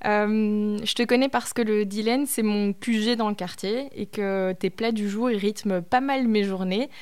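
A woman's voice: medium pitch, a little mellow, clear, resonant tone. A woman hosting a podcast, speaking in an informal, conversational way as she presents and interviews. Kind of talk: scripted introduction and casual phone interview.

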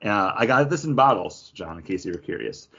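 A man's voice: lowish voice, expressive, a little fast, a bit bright, husky, dull audio. A man hosting a podcast in a casual, chatty style. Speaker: podcast host. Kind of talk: casual chat.

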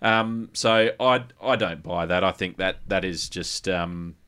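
An Australian man hosting a gaming podcast, chatting casually and haltingly with plenty of swearing and colloquial turns. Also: low-pitched, sharp tone, clean tone. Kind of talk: casual chat.

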